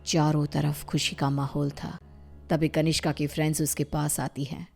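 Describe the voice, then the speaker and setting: A woman's voice: sits low, flat delivery, normal speed, vocal fry, a little mellow, faint music behind. A woman narrating a scripted cartoon horror story.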